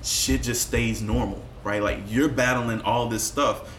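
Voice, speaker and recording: A man speaking as a musician guest in a candid podcast conversation, telling personal stories in a reflective, expressive way with casual swearing. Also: mid-range voice, measured pace. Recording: roomy echo with faint background noise.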